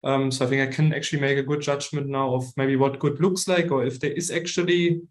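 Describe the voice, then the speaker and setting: A man's voice: medium pitch, steady tone, fairly quick. A young man with a German accent talks as a guest consultant in an online meeting. His mid-range voice is casual and conversational, with loosely built, hedging sentences. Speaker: guest expert, recruitment consultant. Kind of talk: casual self-introduction to an online audience.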